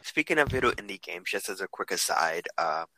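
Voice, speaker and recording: A young man co-hosting a casual podcast chat, upbeat, with many restarts and quick asides. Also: low-pitched, lively intonation, sharp tone, dry recording. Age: young adult. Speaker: podcast co-host.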